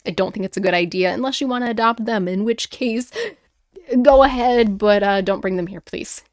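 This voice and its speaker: A woman's voice: mid-range voice, normal speed, no room sound, clean studio sound. A woman hosting her own show, moving between scripted story narration and chatty talk as an author. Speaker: host and author.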